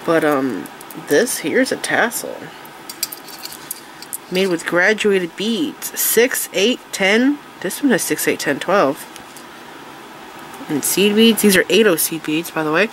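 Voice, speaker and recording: A woman's voice: fairly low pitch, lively intonation, slow, long pauses, a little hiss or hum, rough recording. A woman with a congested, nasal voice from allergies, chatting casually and eagerly as she shows her craft supplies. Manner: chatty, enthusiastic, with fillers and restarts. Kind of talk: casual show-and-tell chat. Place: indoors at a tabletop, overhead camera on hands.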